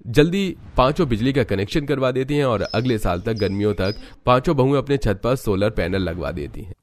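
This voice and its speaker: A man's voice: mid-range voice, lively intonation, brisk, a little hiss or hum. A man reading scripted narration for a cartoon family story.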